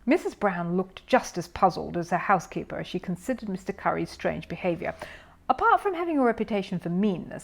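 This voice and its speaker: A woman's voice: medium pitch, darkish tone. Woman narrating a children's story.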